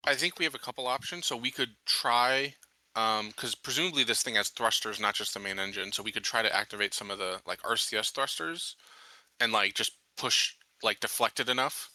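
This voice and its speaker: A man with a lowish but very bright, sharp voice talks as a player in a tabletop game. He reasons things out analytically in halting phrases. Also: dry recording. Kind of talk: casual chat during a tabletop game.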